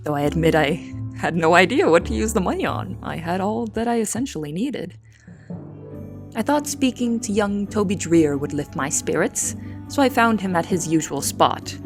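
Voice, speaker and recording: Casting: actress as reserved young female cook, lower-pitched, formal measured storytelling for audio drama, music underneath. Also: gravelly. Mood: measured, reflective. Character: reserved, proud, observant 19-year-old female cook. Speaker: voice actor and narrator. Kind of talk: dramatic first-person scripted narration.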